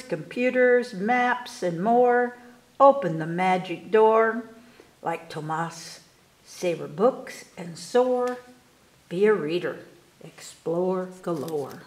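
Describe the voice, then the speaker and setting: A woman reading children's poems aloud at storytime, expressively and with careful, animated delivery for young listeners. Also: medium pitch, reverberant. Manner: animated, unhurried, enunciating for children. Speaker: library storytime reader. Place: indoors in a room, book filmed on table.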